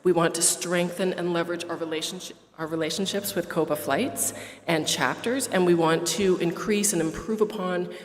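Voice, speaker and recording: A woman from Quebec whose first language is French, giving a brisk report at an association's annual meeting, with some fillers and restarts. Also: fairly low pitch, fairly even intonation. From Quebec, Canada. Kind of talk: prepared speech at a meeting. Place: meeting hall at an airport. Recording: roomy hall reverb.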